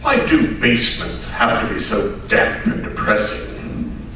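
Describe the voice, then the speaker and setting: In a roomy, reverberant hall with the mic far away, a man plays a polite, grumbling male sidekick in a radio play. He speaks slowly and hesitantly, in a fairly high, distant voice. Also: wildly swooping pitch, pushed and bright, exaggerated voice. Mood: doubtful, grumbling. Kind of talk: old-time radio drama acting on stage. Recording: very echoey hall, distant mic, faint noise.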